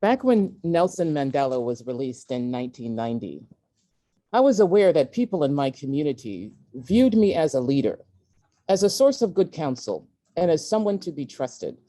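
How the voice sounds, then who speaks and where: A woman reading memoir excerpts aloud in a measured, emphatic way, with deliberate pauses. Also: deep voice, big pitch swings, dark tone. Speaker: guest reader at a virtual event. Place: indoors at a community center, on an online event.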